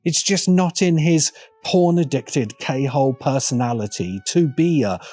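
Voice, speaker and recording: A man's voice: on the high side, lively intonation, measured pace, mellow. A man delivering scripted, opinionated commentary in an emphatic, sardonic way. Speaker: video essayist. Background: music.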